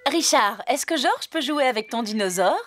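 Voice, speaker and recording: Woman as high, lilting cartoon rabbit mum. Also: expressive, moderate pace, over music, lightly acted. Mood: gentle coaxing. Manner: gentle, coaxing. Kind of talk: French children's cartoon dub.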